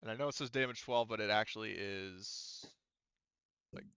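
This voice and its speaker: A man's voice: on the low side, moderate pace, fairly bright tone, clean tone, dry recording. A man running a tabletop roleplaying game as game master, talking through dice rules in a casual, profane way over slightly dull audio.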